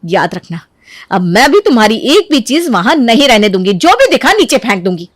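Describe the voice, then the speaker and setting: A woman's voice: on the high side, big pitch swings, fairly quick, dry recording, clean studio sound. A woman voicing a resentful elder sister-in-law, bitter and scheming, in a cartoon family story. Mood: taunting, bitter. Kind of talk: animated family story dialogue.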